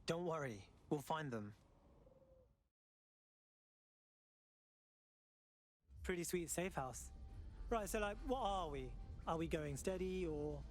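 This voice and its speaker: Guy playing earnest game rebel, casual, slightly high voice, bit of music. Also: expressive, moderate pace, lightly acted. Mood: sympathetic, friendly. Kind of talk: video game cutscene acting.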